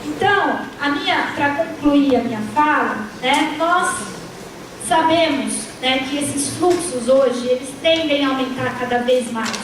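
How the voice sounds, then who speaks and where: A high-pitched woman delivers a university panel lecture, often dropping a questioning "né" and breaking off words to restart them. She sounds distant in a very echoey lecture hall, with clear background noise in the room. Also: a little slow. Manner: frequent tag "né", restarts mid-word. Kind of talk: academic panel talk with slides.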